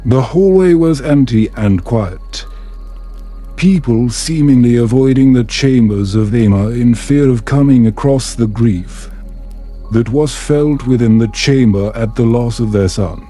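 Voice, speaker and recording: Slow, mellow man reading a bedtime story. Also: mid-range voice. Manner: soothing, measured. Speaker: narrator. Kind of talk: calm bedtime story narration. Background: background music.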